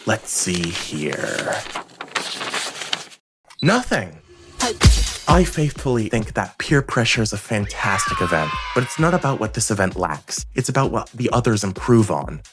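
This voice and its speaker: Low-pitched man narrating over loud music. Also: very animated, measured pace. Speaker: video game reviewer and narrator. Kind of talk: scripted review narration.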